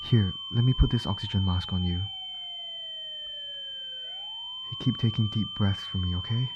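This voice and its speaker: A man playing a gentle, reassuring ambulance medic in an ASMR comfort roleplay, speaking in a soothing, coaxing, attentive way. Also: on the low side, fast talker, lo-fi sound, heavy background noise, natural voice. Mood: urgent, reassuring. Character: gentle, reassuring male ambulance medic tending an injured patient.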